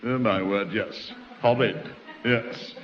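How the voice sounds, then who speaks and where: A man's voice: lowish voice, steady tone, slow. A man playing a pompous, fussy middle-aged choirmaster in a 1960s radio sitcom, with a repeated pet phrase. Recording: dull hissy old radio recording with reverb.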